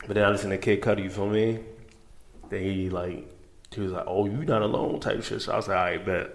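A man's voice: lowish voice, fairly even intonation, fairly quick. A man chatting casually with friends in an easygoing, slangy way, often asking "feel me". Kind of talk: casual group chat.

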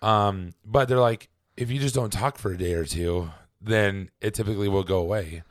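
A man with a raspy, hoarse voice from laryngitis, pitched on the low side. He co-hosts a casual podcast chat, stumbling through ums and letting his words fade out. The sound is dry and close to the microphone. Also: no echo. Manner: halting, with many ums and trailing off quietly. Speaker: podcast co-host.

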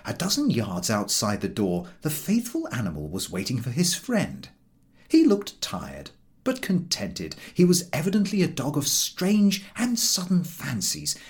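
Very animated male audiobook narrator. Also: mid-range voice. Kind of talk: scripted literary narration of a comic travelogue.

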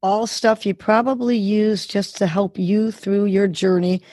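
A woman hosts an interview, welcoming her guest and drawing out the story with encouraging, effusive remarks. Her voice is husky and recorded close and dry, with no room sound. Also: mid-range voice, steady tone, a little slow, mellow, dry recording. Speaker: host. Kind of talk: interview hosting.

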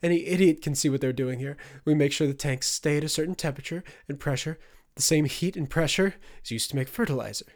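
A man's voice: mid-range voice, fairly even intonation, measured pace, clean tone, close to an everyday voice. Man acting a haughty captive reduced to desperate begging. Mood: whimpering, pleading. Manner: haughty commands turning to screamed, whimpered begging. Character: arrogant sneering man broken into pleading, terrified captive. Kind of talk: audiobook character acting.

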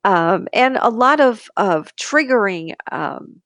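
A woman's voice: mid-range voice, animated, slow, a bit bright, dry recording, clean studio sound. A woman co-hosting a casual podcast, talking in a hesitant, searching way. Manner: hesitant, full of ums and restarts. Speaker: podcast co-host.

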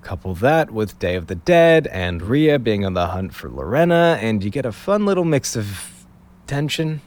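Male actor as weary young amateur investigator, low voice, casual, hesitant, wry, audio drama. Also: big pitch swings, moderate pace, darkish tone. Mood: rueful, confiding. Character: weary hungover young man, reluctant investigator telling the story. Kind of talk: naturalistic audio drama acting and narration.

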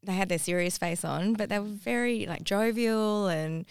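A woman's voice: medium pitch, normal speed, smooth, dry recording, studio quality. A woman from Kenya chatting casually and playfully as she asks a guest questions. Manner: playful, informal. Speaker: interviewer, podcast co-host. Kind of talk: casual chat, bantering questions.

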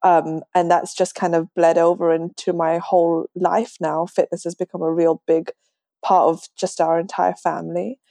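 A woman's voice: lowish voice, little pitch movement, moderate pace, gravelly, dark tone, dry recording. A woman in her twenties with a slightly Americanised London accent, chatting casually as a podcast guest. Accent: London English, slightly Americanised. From London, England. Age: 20s. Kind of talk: casual conversational interview.

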